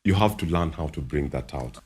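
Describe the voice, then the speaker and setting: A man's voice: rumbling low, expressive, fairly quick, darkish tone, slightly rough. A young Kenyan man, a voice-over artist, chatting casually on a podcast, explaining with examples and laughing often. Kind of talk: casual podcast chat in mixed Swahili and English.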